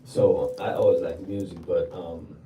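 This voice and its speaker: A very deep-voiced older man from Jamaica answers interview questions about his DJ work in a relaxed, repetitive way. He sits back from the mic in an echoey room. Steady background noise runs under his voice. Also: measured pace. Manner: relaxed, repeats words for emphasis. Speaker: DJ guest. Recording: roomy, distant, noisy livestream audio.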